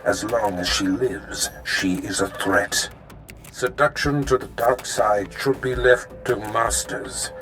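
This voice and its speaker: An actor playing a cold, scheming male Sith lord in a video game, giving orders in an imperious, deliberate manner. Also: on the low side, music in the background. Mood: cautioning, menacing. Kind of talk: dramatic scripted game dialogue.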